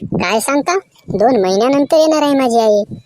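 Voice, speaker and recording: A woman playing a sharp-tongued, bossy wife in a cartoon comedy skit. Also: a little high, expressive, moderate pace, no echo, lightly acted. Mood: excited, bossy.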